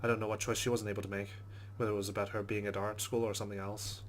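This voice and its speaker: A man's voice: on the low side, flat delivery, rapid-fire, a little hiss or hum. A man playing through a story game, reading its narration aloud with casual asides. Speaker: let's play host.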